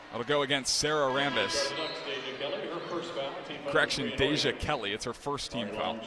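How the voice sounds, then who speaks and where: A young man doing live play-by-play, with a quick, crisp call that climbs into excited shouts on big plays. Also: medium pitch. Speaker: play-by-play announcer. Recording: broadcast with loud arena crowd and noise.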